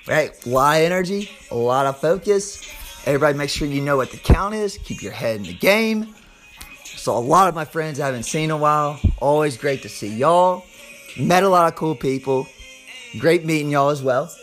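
A man with a Southern US accent and a slightly hoarse voice, hosting an informal sports podcast like a hyped showman, stretching words and repeating phrases. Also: on the high side, expressive, moderate pace, a bit bright, soft background music. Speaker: podcast host.

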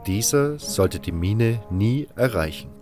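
Low-voiced man narrating a fantasy audiobook. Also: animated, takes it slowly, over music. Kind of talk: scripted first-person fantasy narration.

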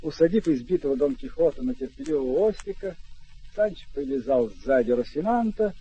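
A man's voice: medium pitch, a little fast, slightly rough. A man acting as the narrator of a classic radio play, telling the story in a vivid, theatrical manner. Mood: wry, subdued. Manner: animated, theatrical. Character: vivid, theatrical male storyteller addressing gentlemen. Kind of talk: dramatic scripted radio narration. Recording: dull old radio recording with music.

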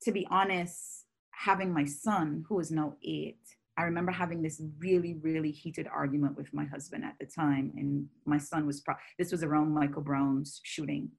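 A woman speaks in a voice that sits very low for a woman. She is a scholar guest in an interview, outspoken and animated, running through long, connected thoughts. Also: normal speed, vocal fry, slightly rough. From Barbados. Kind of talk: conversational interview.

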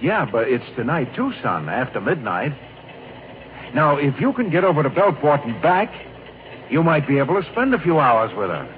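A man's voice: on the high side, very animated, moderate pace, phone-quality sound. An actor playing a kindly middle-aged traveling salesman, folksy and rambling, in a 1940s radio drama. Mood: encouraging. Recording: thin, noisy old radio recording.

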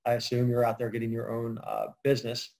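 A man's voice: medium pitch, completely flat delivery, fast, darkish tone. A man hosting an interview podcast, talking casually with a lot of fillers and restarts. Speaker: podcast host. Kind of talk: conversational interview.

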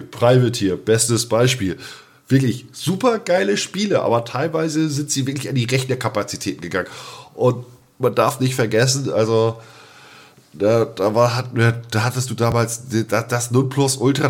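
Guy with a lowish voice, co-hosting a podcast, super slangy, keeps repeating words as he goes. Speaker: podcast co-host. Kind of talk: casual podcast chat.

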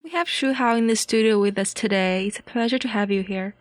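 A woman's voice: medium pitch, a little fast, no room sound, soft background music. A woman hosting a podcast interview in a studio. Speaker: podcast host.

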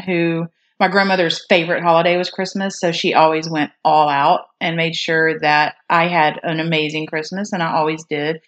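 Deep-voiced woman, podcast co-host, easygoing and plainspoken. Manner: plainspoken, quick to laugh and joke. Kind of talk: casual chat.

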